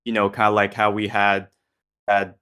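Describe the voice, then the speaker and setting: Guy hosting a chatty panel, voice a bit on the low side. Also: monotone, normal speed, sharp tone, clean tone. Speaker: host, moderator. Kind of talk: casual panel discussion.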